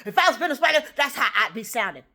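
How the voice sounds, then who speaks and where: A woman ranting in a rambling, profane monologue, swinging between loud outbursts and low muttering. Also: sing-song, fast. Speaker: vlogger.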